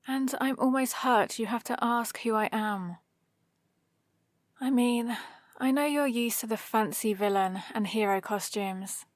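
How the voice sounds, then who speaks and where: A woman's voice: mid-range voice, no echo, close to an everyday voice. A British woman playing a haughty supervillain in an ASMR roleplay, dry, sardonic and commanding, with a grudgingly caring streak, close to the microphone. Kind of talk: ASMR roleplay, acted monologue to the listener.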